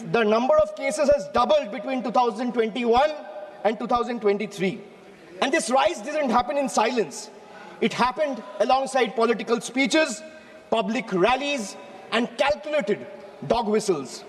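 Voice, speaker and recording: High-voiced Indian man addressing parliament. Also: long reverb tail. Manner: rhetorical, emphatic, repeats phrases for effect. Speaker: member of parliament. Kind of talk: parliamentary floor speech. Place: large parliament chamber.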